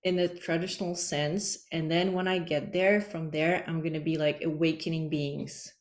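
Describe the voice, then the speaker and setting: A woman's voice: low voice, flat delivery, normal speed, echoey room. A woman from the Czech Republic, a guest on a podcast, talking in a loose, rambling way full of "like" fillers. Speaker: podcast guest, energy healer. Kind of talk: casual interview chat.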